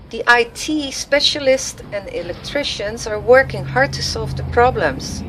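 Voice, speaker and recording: Here is a woman playing an older female receptionist in an audio drama, stressed and grumpy. The voice is raised in pitch and full of exasperated complaint. There is faint noise behind it. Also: a bit bright, rough recording.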